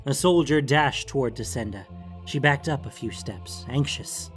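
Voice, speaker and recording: Casting: male audiobook narrator, medium pitch, dramatic scripted fantasy reading with soft music behind. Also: moderate pace.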